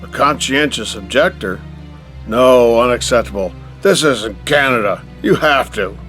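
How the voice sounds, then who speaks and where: A man's voice: mid-range voice, unhurried, a bit bright, close to an everyday voice. An actor playing a stern, pompous, wealthy middle-aged father with a formal, commanding manner in an audio drama. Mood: stern, impatient. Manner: formal, imperious. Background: music behind the voice.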